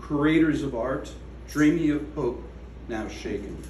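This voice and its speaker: Man, poet reading, measured and slow, roomy reverb, away from the mic. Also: mid-range voice, lively intonation, a little mellow, slight background noise. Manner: slow, measured recitation with falling line endings. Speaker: poet reading his poem. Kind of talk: poetry reading at a gallery event. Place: art gallery room. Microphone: away from the microphone. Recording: echoey room from a distance.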